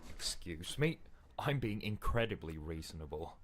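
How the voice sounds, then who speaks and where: A man's voice: sits low, big pitch swings, moderate pace. A man voicing the anxious, frightened inner voice of a doomed adventurer, fretful and pleading. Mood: indignant, panicked. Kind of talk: dramatic game dialogue.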